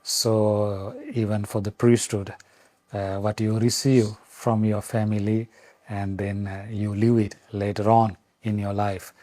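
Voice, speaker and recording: Halting low-voiced man from Sri Lanka. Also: flat delivery, warm tone, no echo. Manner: halting, many fillers and restarts. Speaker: Vatican official interviewed. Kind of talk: reflective interview answers.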